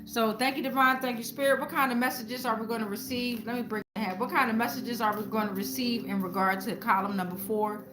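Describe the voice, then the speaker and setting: A woman's voice: on the high side, fairly even intonation, fairly quick, hardly any pauses, music in the background. A woman host giving an informal spiritual tarot reading to viewers, speaking colloquially and repeating phrases like incantations. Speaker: tarot reader and channel host.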